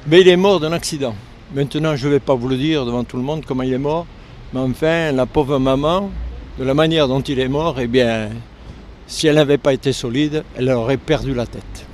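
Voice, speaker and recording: An older man with a southern French accent from Lozère speaks earnestly, pausing and restarting when the subject turns painful. His voice sits at an ordinary middle pitch. Faint urban noise hums around him outdoors. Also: darkish tone. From Lozère, southern France. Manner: earnest, halting when emotional. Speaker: interviewee, retired air force serviceman. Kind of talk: street interview. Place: outdoors on a city street.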